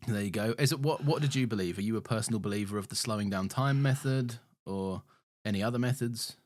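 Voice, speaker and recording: A man's voice: on the low side, fast, no echo, studio quality. A man co-hosting a podcast in casual chat, telling stories with lots of laughs and put-on quoted voices. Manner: animated storyteller, laughs often, puts on quoted voices. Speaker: podcast co-host.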